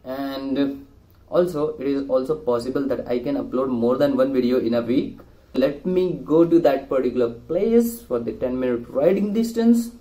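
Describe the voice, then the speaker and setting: Mellow young Indian man vlogging. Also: mid-range voice, moderate pace, rough recording. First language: Hindi. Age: young adult. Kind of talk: casual vlog to camera.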